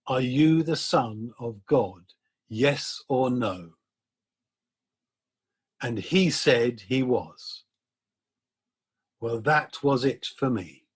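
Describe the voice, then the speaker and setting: A man reading a dramatic monologue as a proud, scheming high priest, self-important and matter-of-fact, with a husky voice. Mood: impatient, self-justifying. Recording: video call.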